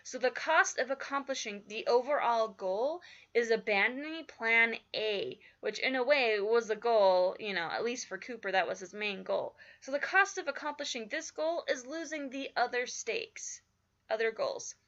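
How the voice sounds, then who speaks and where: A woman talks through a writing lesson in a casual, filler-heavy way, her voice slightly croaky from just waking up. Her tone is bright and a little high. The recording is somewhat dull and lo-fi. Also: normal speed. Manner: chatty, many fillers like "like" and "you know". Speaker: writing teacher.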